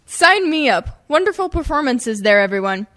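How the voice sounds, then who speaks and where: A woman hosts a radio comedy with a scripted introduction. Her voice sits fairly high and moves around with animated intonation, and she delivers it with mock-earnest, tongue-in-cheek poise. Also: moderate pace. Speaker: radio play host and narrator.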